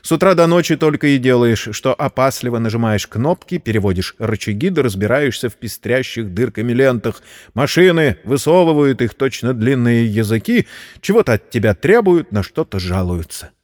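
A man narrates a dramatic story in a middle-pitched voice that moves widely up and down. His delivery is expressive and theatrical. A long reverb trails behind his words. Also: normal speed. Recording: long reverberant hall sound.

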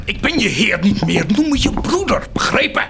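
Imperious lord: high, swooping voice, fervent and impatient, commanding radio-play delivery. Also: male voice, big pitch swings, moderate pace, creaky, very noisy, heavily acted. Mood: insistent. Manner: commanding, insistent, abrupt shifts from soft to forceful. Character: imperious nobleman insisting on brotherhood, impatient and fervent. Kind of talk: radio drama acting.

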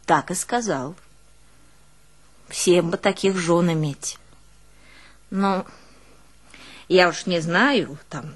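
An actress playing a proud, aggrieved peasant wife with a rural northern Russian accent, in an earthy, colloquial, emotional theatrical monologue. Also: female voice, lowish voice. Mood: wistful, confiding. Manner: colloquial, emotional, swinging from scolding to hushed confiding. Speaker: stage actress in a one-woman audio play. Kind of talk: theatrical monologue with snatches of village folk ditties.

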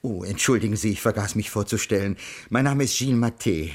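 An actor playing a pedantic, earnest middle-aged ethics teacher with formal, stilted diction in a radio crime play. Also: male voice, on the low side, brisk, darkish tone, slightly rough. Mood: formal, grave. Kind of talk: 1980s German radio crime play acting.

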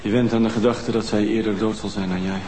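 A man's voice: on the low side, level tone, fast talker, lightly acted. An actor playing the younger husband of a murdered old woman, a former nurse, answering in a guarded, defensive way. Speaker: widower of the victim. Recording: TV sound with room echo and background noise.

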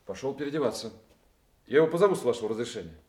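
A man acting a brisk, respectful young army lieutenant reporting formally in a radio play. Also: medium pitch, lively intonation, mellow, lightly acted. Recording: echoey room, faint noise and clicks.

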